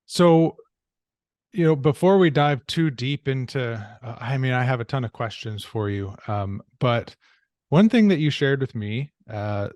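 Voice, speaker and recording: Male podcast host, medium pitch, friendly interviewer, dry studio sound. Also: lively intonation, unhurried, a little mellow, no room sound.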